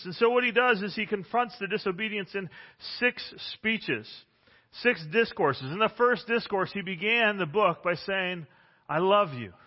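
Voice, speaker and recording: A man in his forties preaching a sermon as pastor, loud and emphatic, with frequent restarts, recorded on a microphone in a church. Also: high-pitched. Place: church sanctuary. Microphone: handheld or stand microphone. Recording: dull sound with long hall reverb.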